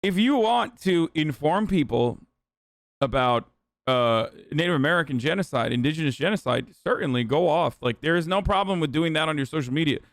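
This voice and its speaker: A man's voice: fairly high pitch, animated, a little fast, no room sound. Man, young adult, from Turkey, streamer commentary, emphatic and profane, dry close sound. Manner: loose, profane, emphatic ranting. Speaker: streamer reacting to a video. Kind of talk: casual livestream commentary.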